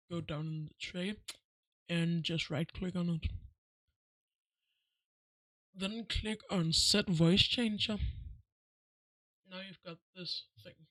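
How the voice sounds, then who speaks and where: High-pitched man giving a software tutorial. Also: no echo, poor audio. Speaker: tutorial host. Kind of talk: casual step-by-step software tutorial voice-over.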